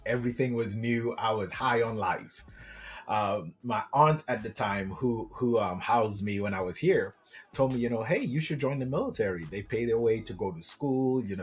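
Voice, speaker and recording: A middle-aged man from Trinidad and Tobago, a guest telling his life story in a friendly, animated way and often saying "man". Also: fairly low pitch. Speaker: guest, government contracting coach. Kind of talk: casual interview storytelling.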